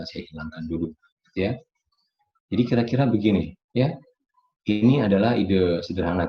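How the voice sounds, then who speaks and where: Guy w a real deep voice doing an informal science lecture, echoey room, kinda dull audio. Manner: informal, explains with everyday analogies, often seeks confirmation. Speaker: geologist giving a lecture. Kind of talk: explanatory online lecture to an audience. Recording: roomy echo, dull lo-fi audio.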